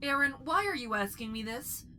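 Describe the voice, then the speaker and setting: A woman playing a helpful, matter-of-fact ship computer in a sci-fi fan parody, speaking in a precise, measured, articulate way. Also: high voice, expressive, pushed and bright, slight background noise. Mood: calm, guarded. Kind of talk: fan sci-fi parody dub, informational recitation.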